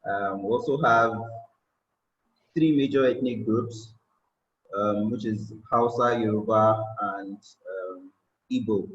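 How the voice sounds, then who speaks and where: A man from Nigeria with a Nigerian accent giving a tech presentation, unhurried and filling pauses with many ums. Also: lowish voice. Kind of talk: conference presentation. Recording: roomy, slightly dull sound.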